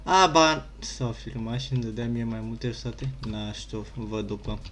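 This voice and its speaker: A man's voice: medium pitch, steady tone, moderate pace, a crowd in the background. A man giving casual, unscripted gaming commentary, grumbling in blunt asides. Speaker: gaming YouTuber. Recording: slight background noise.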